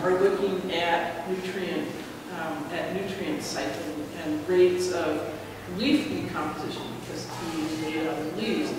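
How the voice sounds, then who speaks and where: A woman presenting her research at a conference, in a relaxed, chatty way with frequent pauses. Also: on the low side. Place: large lecture hall. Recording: echoey hall, mic far off, noisy.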